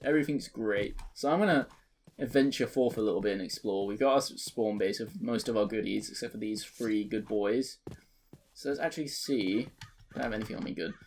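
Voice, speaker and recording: A man in his twenties giving rambling, joking commentary over a video game, his voice cracking and jumping between high and deep. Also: a little fast, darkish tone, slight background noise. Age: 20s. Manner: rambling, joking, self-mocking. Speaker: let's play creator. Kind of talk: casual gameplay commentary.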